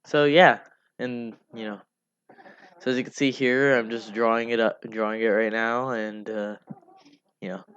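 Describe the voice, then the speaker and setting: A man giving casual, unscripted devlog commentary in a rambling, halting way, often repeating himself. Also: medium pitch. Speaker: hobby game developer narrating a devlog. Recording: dull lo-fi mic under music. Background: music.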